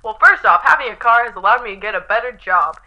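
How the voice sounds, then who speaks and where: A woman's voice: a little high, expressive, fast, close to an everyday voice. A woman acting in a scripted 1920s radio show as a chipper radio personality. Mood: cheerful. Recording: dull lo-fi recording.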